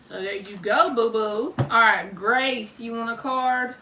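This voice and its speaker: A woman's voice: fairly high pitch, measured pace. A woman hosting a casual livestream as a tarot reader, chatting informally with viewers and giving spiritual readings. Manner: informal, chatty, addresses viewers by name, mild swearing. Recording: roomy, distant mic with faint noise.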